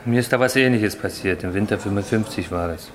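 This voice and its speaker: A man plays a good-natured, reflective workmate in a radio crime play. His voice is on the low side and he talks in a rambling, anecdotal way. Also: a little fast, a crowd in the background, close to an everyday voice. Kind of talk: German radio crime drama acting.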